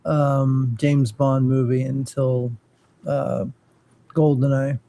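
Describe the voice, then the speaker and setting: A man's voice: mid-range voice, level tone, slow pace, mellow. A man chatting casually on a podcast as co-host, hesitant and often restarting his phrases. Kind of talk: casual chat.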